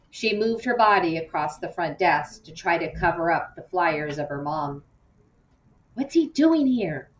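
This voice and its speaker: A woman reads a children's story aloud in an expressive storytelling style. Her voice sits a little low, and it comes through dull and roomy, at some distance from the microphone. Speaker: audiobook reader. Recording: muffled, roomy, distant from mic.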